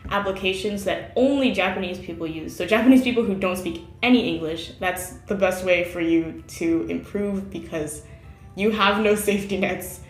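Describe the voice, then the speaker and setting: A woman's voice: mid-range voice, moderate pace, echoey room, soft background music. A woman chatting casually in an interview about how she learned a language, laughing often and using lots of fillers.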